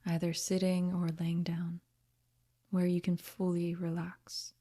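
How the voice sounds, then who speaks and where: A woman guiding a meditation in a soft, breathy, half-whispered voice, with a gentle, soothing manner. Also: on the low side, completely flat delivery. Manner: gentle, soothing, unhurried instruction. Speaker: meditation guide. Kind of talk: guided meditation narration.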